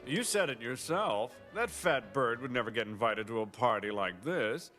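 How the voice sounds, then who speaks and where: An actor playing a snobbish wealthy socialite who talks in a slow, condescending drawl. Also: male voice, mid-range voice, expressive, bright, forceful tone, long reverb tail, faint music behind. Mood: mocking. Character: snobbish wealthy grown man, scornful socialite. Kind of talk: animated series voice acting.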